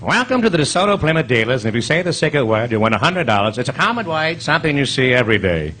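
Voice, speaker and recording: A leering comedian hosting an old radio quiz. He teases and ad-libs slyly, with a very lively, swinging delivery. The live stage recording has clear noise behind him. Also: mid-range voice, fast talker. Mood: sly, genial. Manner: sly, teasing, ad-libbing banter. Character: wisecracking leering male quiz show host comedian. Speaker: quiz show host.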